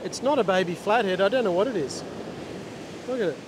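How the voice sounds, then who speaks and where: Middle-aged man, chatty fishing vlog host, lapel mic, loud waves and wind behind. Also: high voice, expressive, a little fast. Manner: chatty, explains while working, restarts sentences. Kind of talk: casual instructional vlog. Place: open ocean beach outdoors, surf. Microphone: clip-on lapel mic. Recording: loud surf and wind noise. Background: crashing waves and wind.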